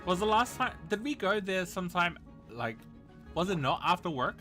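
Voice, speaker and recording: A man's voice: high voice, big pitch swings, moderate pace, sharp tone, soft background music. A man chatting casually and giggly while live-streaming a game, reacting as he plays.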